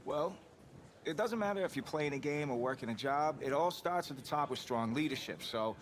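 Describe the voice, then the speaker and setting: A man's voice: a little high, lively intonation, a little fast, lightly acted. A man voicing an earnest young quarterback with a Jersey accent. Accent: New Jersey. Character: young male backup quarterback, earnest teammate. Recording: game audio with background noise.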